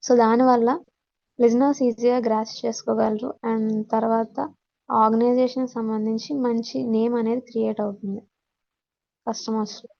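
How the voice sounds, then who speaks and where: A woman teacher with a Telugu Indian accent explains points in a fairly high, very flat voice with a creaky edge. She mixes in English terms and pauses hesitantly. The audio is dull. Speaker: teacher giving a communication skills lesson. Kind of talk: explanatory lecture, reading out points then explaining.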